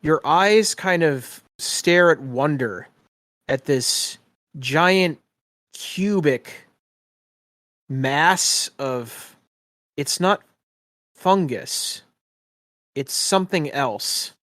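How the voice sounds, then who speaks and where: A man's voice: fairly high pitch, drawn-out words, pauses often, fairly bright tone, no echo. A man narrating a tabletop game as game master in a descriptive storytelling style.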